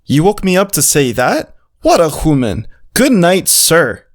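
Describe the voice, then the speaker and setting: A man as an eager, animated friendly dog. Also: a little high, a little slow, no echo, clean studio sound, full character voice. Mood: sleepy, cheerful. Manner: playful, childlike wording. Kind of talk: first-person story narration and character acting.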